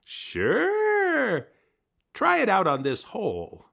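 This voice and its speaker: A man voicing a boastful, bossy male coyote who shouts and commands. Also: high-pitched, huge pitch swings, extremely slow, creaky, pitched above the performer's own voice, full character voice. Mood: flattering.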